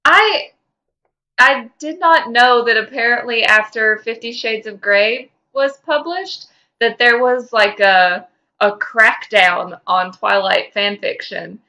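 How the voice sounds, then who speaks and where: A woman co-hosting a podcast, informal and expressive, moving between casual chat and reading fan fiction aloud. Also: a little high, slow pace. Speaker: podcast co-host.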